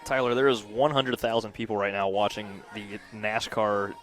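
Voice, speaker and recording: A male color commentator talks through a softball game in a conversational way. His voice is on the low side, and he adds analysis between plays. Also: steady tone, normal speed, faint music behind, some chatter behind. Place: outdoors at a ballfield, on a platform by tables.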